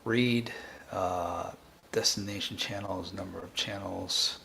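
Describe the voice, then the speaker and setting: A man hosting a live coding tutorial, thinking aloud in a halting, informal way and often restarting his sentences. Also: low voice, steady tone, gravelly, sharp tone, slight background noise. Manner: halting, self-interrupting, informal. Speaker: livestream host, programming instructor.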